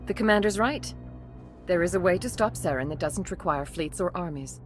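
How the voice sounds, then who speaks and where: A woman voicing a diplomatic, dignified female alien councilor in a video game, measured and formal. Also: fairly low pitch, expressive, soft background music, natural voice.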